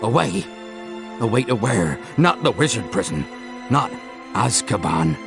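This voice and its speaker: A big, soft-hearted groundskeeper from a fantasy game. He sounds gruff and gravelly, swooping widely as he blusters. Clear music plays behind. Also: medium pitch, sing-song, measured pace. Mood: alarmed.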